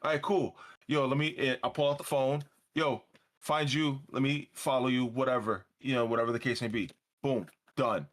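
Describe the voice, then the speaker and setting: Male streamer, New York accent leaning Bronx, rambling casual host, middle pitch. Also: steady tone, moderate pace. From Brooklyn, New York. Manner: rambling, repeats words, curses freely. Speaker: livestream host. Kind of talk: casual livestream chat.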